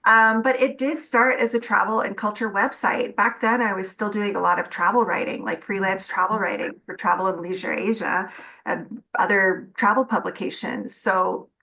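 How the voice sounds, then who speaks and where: A woman's voice: medium pitch, fairly even intonation, moderate pace, creaky, bright, forceful tone, rough recording. A middle-aged woman, an interview guest, talking candidly and reflectively about her own experience in casual conversation.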